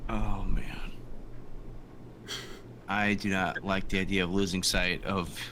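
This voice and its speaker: A man's voice: low voice, level tone, slow, background noise. A man talking casually as a player during a tabletop roleplaying session.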